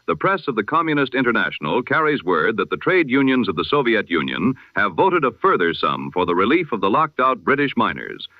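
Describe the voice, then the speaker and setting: A man delivers the news in the manner of a 1920s radio bulletin. His voice sits a little low but moves with lively intonation, formal and measured throughout. The sound is dry and slightly muffled, like a lo-fi radio. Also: no echo. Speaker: news announcer of an audio history broadcast.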